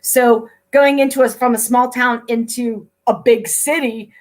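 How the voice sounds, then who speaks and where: Middle-aged woman host, slightly high voice, upbeat and chatty, casual interview. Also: fairly even intonation, a little slow, a little mellow. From Pennsylvania, USA. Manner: chatty, upbeat, laughs often.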